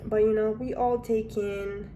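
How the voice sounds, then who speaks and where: A young woman from Honduras frankly telling her life story in a halting, candid way. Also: medium pitch, little pitch movement, dark tone, slight background noise. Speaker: vlogger. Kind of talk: personal storytelling.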